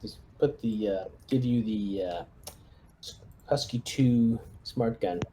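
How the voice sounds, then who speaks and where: Dark-voiced man chatting during a tabletop game. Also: lowish voice, slow, slight background noise. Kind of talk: casual in-game chat.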